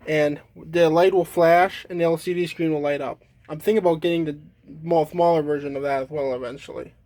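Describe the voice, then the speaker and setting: A man giving a casual, unscripted demonstration as a hobbyist, halting and trailing off mid-thought. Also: fairly high pitch, steady tone, a little mellow, no room sound. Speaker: hobbyist demonstrating a weather radio collection.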